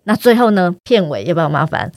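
A woman's voice: lowish voice, very animated, fast, no echo. A woman with a Taiwanese Mandarin accent, hosting a conversational podcast interview. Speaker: podcast host.

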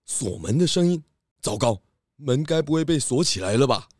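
A man's voice: medium pitch, animated, moderate pace, a little mellow, no room sound, studio quality, lightly acted. A man playing an inquisitive, decisive pig detective who muses and questions aloud in a children's audio drama. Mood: puzzled, alert. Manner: musing, questioning, hesitating aloud.

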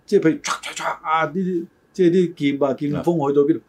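Older guy co-hosting, kinda raspy n mellow, rambles and hedges as he remembers. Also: fairly high pitch, fairly even intonation, quick pace. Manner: hesitant, roundabout, hedging his recollections. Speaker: talk show co-host. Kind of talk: casual reminiscing conversation.

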